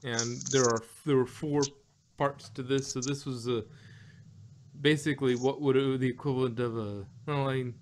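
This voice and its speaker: A man in mid-range pitch chatting loosely on a review podcast. He rambles, restarts his sentences and breaks into laughter often as he thinks aloud. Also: fairly even intonation, normal speed, a little mellow, a little hiss or hum. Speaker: podcast co-host reviewing an audio drama. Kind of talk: casual review chat.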